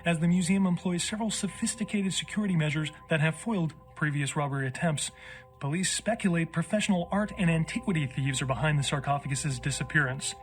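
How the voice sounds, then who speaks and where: A man's voice: fairly high pitch, fast talker, soft background music, natural voice. A man playing an earnest news anchor, reading a scripted bulletin in a video game. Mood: formal.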